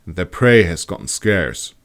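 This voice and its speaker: A man's voice: deep voice, animated, moderate pace, fairly bright tone. A man playing a bold, curious expedition leader with an assured, explanatory manner in an audiobook. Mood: explaining.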